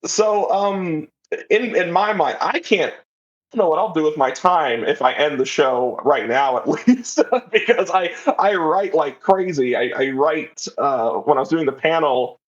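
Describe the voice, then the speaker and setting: A man's voice: on the high side, animated, measured pace. Lo-fi sounding male podcast guest. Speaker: podcast guest, audio drama writer. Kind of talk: casual interview conversation.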